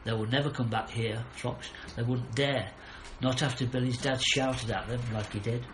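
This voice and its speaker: A man's voice: mid-range voice, fairly even intonation, moderate pace, fairly bright tone, natural voice. A brave, loyal female dog from a storybook, read by a man, eager and reassuring. It sounds roomy, with a faint noise underneath.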